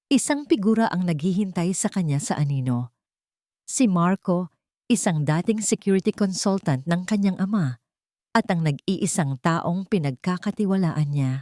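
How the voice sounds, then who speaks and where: A woman narrates a dramatic love story in a fairly low voice. The delivery is scripted storytelling, recorded dry with very little room sound. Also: warm tone, no room sound.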